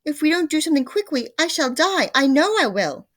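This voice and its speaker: A woman voicing a nasty, panicky old wife who screams in a frantic, high voice. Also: wildly swooping pitch, moderate pace, no echo, studio quality, exaggerated voice.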